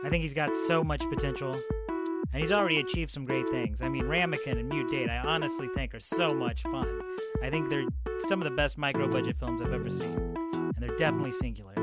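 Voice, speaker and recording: A man hosting a podcast in a casual, informal way, with plenty of swearing. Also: mid-range voice. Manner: informal, profanity-laced. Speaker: podcast host and interviewer.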